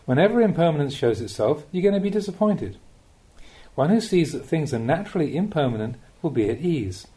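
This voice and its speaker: Mellow man reading teachings, lively intonation. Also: a little high, brisk. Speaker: narrator reading Buddhist teachings. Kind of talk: audiobook reading of a spiritual talk.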